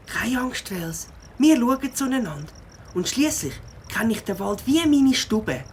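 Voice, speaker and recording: A woman plays a brave young gang leader with a highish voice and a Swiss accent. The manner is resolute and reassuring, in children's radio play style, over faint background noise. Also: animated, slow pace, a little mellow. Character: brave, forest-wise young leader of a group of friends. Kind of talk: children's audio drama acting.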